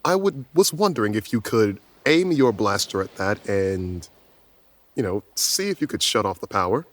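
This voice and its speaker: A man playing a tabletop game, chatting casually and getting excitable. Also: moderate pace. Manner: excitable, swings up in pitch. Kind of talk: casual play chat.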